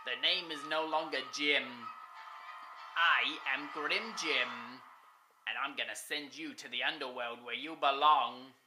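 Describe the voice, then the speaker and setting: A woman voicing a menacing ghostly male steam engine villain who taunts in a slow, gloating drawl. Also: extremely low pitch, over music.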